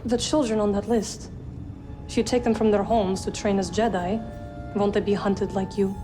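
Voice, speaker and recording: A young woman playing a cool, wary outsider in a video game, speaking directly and deliberately. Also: medium pitch, fairly even intonation, natural voice. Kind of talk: cinematic video game acting. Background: music.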